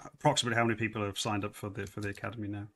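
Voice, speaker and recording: Bright-toned man hosting a livestream. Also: fairly low pitch, little pitch movement, very fast. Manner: loose, repeats words, many fillers. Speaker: livestream host. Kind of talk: casual livestream chat.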